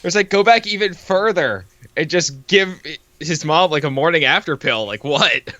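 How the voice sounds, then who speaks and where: A man's voice: on the high side, lively intonation, moderate pace, pushed and bright, no room sound, dull audio. A man from Canada co-hosting a comic read-along, chatting casually in a giggly, profane, joking way.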